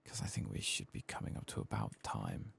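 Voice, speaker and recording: A man giving soft-spoken, sometimes whispered ASMR game commentary, murmuring casually to himself close to the mic and swearing now and then. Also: brisk. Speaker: ASMR gaming streamer.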